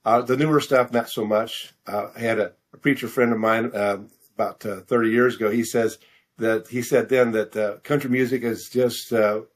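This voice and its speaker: A middle-aged American man answering questions in a casual interview, halting and self-deprecating as he searches for his words. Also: mid-range voice, fairly even intonation. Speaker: author interview guest.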